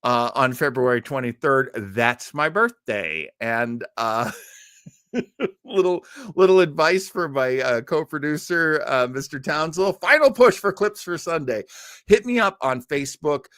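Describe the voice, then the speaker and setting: A man's voice: on the high side, animated, unhurried, fairly bright tone, dry recording, clean studio sound. A man in his early sixties hosting a livestream, chatting casually with viewers in a rambling way with jokey asides. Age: early 60s. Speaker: host of a livestreamed classic radio listening show.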